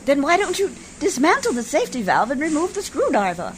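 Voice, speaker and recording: A woman's voice: high-pitched, wildly swooping pitch, brisk, a little hiss or hum. A woman acting in a radio play as a prim, determined missionary lady, speaking in a formal, proper and resolute manner. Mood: puzzled, inquiring.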